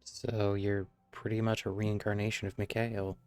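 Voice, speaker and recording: A man's voice: on the low side, level tone, moderate pace, a little mellow. A man giving brief, casual live commentary as he streams a video game. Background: faint game music, clicking.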